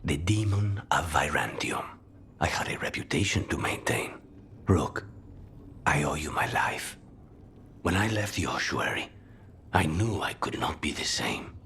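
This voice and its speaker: An actor playing a grim, honour-bound assassin who shares himself with a demon, speaking in a hushed, breathy, measured way for a video game. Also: male voice, very deep voice, expressive, whispered, full character voice. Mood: grave. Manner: measured, solemn, deliberate. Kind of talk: dramatic video game acting.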